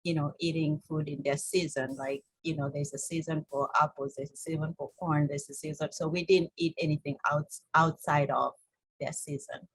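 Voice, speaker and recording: A low-voiced woman with a Kenyan accent shares her story in an interview. Her voice is husky and recorded close and dry. She talks informally and haltingly, circling back with frequent "you know". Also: steady tone, no room sound, poor audio. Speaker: interview guest, holistic health coach.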